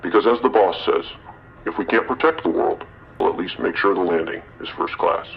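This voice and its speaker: A man's voice: low voice, brisk, slightly rough. A lo-fi radio transmission of a man playing a polished defense computer. The delivery is formal and composed, like a procedural system announcement in an audio drama.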